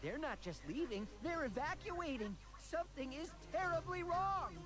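A man voicing a small, nervous, bookish creature, an anxious and excitable assistant in a cartoonish fantasy game. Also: extremely high pitch, normal speed. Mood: alarmed. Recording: game audio with loud music.

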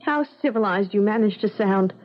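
An actress playing a defiant, outspoken young bride with intense dramatic delivery in a 1970s radio drama. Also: female voice, medium pitch, moderate pace, close to an everyday voice. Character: defiant young modern bride thrown back to colonial times. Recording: muffled 1970s radio broadcast.

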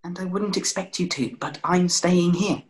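A man's voice: high voice, steady tone, normal speed, close to an everyday voice. A young man playing a guarded, blunt, awkward schoolboy in a theatrical table read. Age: young adult. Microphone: video call.

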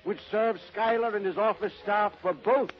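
A man's voice: high-pitched, fairly even intonation, normal speed. A man narrating a radio drama in a scripted 1930s storytelling style. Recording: muffled old 1930s radio recording.

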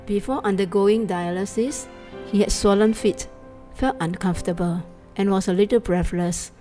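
Matter-of-fact woman caregiver, warm tone. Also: mid-range voice, normal speed, faint music behind. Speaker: caregiver, wife. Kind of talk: interview testimony.

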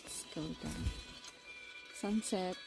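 A woman in her thirties with a Filipino accent, talking very slowly and casually to her viewers as she holds a phone out at arm's length outdoors. Loud music plays under her voice throughout. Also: lowish voice, wildly swooping pitch, creaky. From Philippines. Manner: slow, chatty, addressing viewers as guys. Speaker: travel vlogger. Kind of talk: casual vlog chat. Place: outdoors at a glen campsite. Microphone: phone held at arm's length. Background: loud background music.

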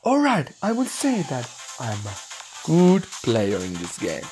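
A young man from Finland, speaking English with a Finnish accent as his second language, commenting excitedly and swearing while recording a mobile game. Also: mid-range voice, a little slow, faint music behind. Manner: swearing, excitable, thinking aloud, broken English. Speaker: gaming channel host.

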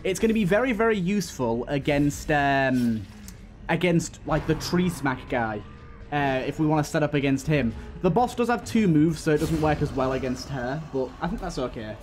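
Higher-voiced man doing game commentary. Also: animated, normal speed, faint music behind. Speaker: gaming video host. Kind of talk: casual let's-play commentary.

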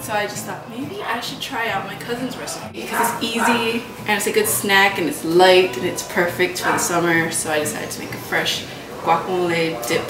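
A woman's voice: mid-range voice, fairly even intonation, normal speed, hardly any pauses, over loud music. Young woman chatting thru a cooking vlog, kinda hesitant, distant camera in an echoey kitchen. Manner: hesitant, rambling, trails off. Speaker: vlogger. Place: home kitchen. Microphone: camera mic from across the room. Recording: roomy kitchen audio, distant mic.

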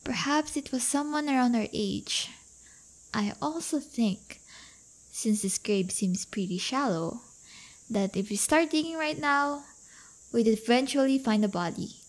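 A woman's voice: fairly high pitch, animated, low-quality recording. A woman playing a playful, crafty but affectionate teenage delinquent girlfriend in an intimate roleplay audio, teasing and coaxing. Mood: eerie teasing. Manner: teasing, coaxing, drawn-out delivery.

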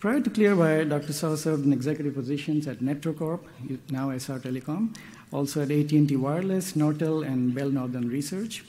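Man with a mellow voice reading an introduction. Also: on the high side, poor audio. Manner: measured, reads a list with small hesitations. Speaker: session chair introducing speaker. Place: conference stage at a podium. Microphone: podium microphone.

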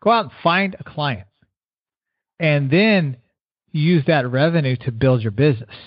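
A man's voice: fairly high pitch, no echo. Earnest middle-aged man, lo-fi audio. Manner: earnest, repeats words, slows to stress points. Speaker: keynote speaker guest. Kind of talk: motivational interview answers. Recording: dull lo-fi radio sound.

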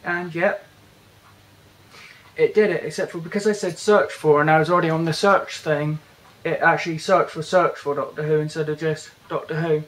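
A young British man casually walking through a phone's features in a bedroom, talking haltingly with many fillers and restarts. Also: on the high side, fairly even intonation, fairly bright tone, poor audio. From Britain. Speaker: tech reviewer. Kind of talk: casual hands-on product walkthrough. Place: indoors, bedroom.

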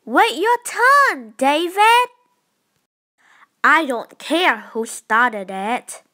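A woman's voice: high-pitched, sing-song, extremely slow, sharp tone, heavily acted. A woman playing an exasperated, strict storybook schoolteacher who gives scolding commands.

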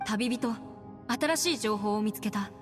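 A woman's voice: mid-range voice, unhurried, lightly acted. A woman playing an earnest, clever young female adviser in a video game, articulate and resolute as she explains. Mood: thoughtful, explaining. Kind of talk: Japanese video game voice acting. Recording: long reverb, music behind.

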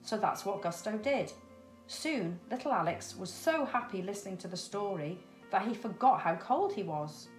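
A woman's voice: medium pitch, expressive, moderate pace, faint music behind. Middle-aged woman reading a story, echoey room. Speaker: storybook reader. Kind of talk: expressive children's story reading. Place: indoors, small room with cupboards. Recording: roomy echo.

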